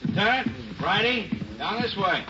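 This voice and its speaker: An actor playing a factual police investigator giving a report in a 1940s radio drama. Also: male voice, high voice, expressive, moderate pace, heavy background noise. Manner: clipped, factual. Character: matter-of-fact male police investigator reporting in. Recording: muffled 1940s radio recording.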